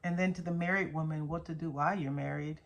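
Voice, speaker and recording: A woman's voice: low-pitched, steady tone, fast talker, gravelly, dull audio. A middle-aged woman hosting a faith teaching channel, talking casually to camera with an earnest, emphatic manner that leans toward preaching. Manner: earnest, emphatic, with rhetorical questions and repetition.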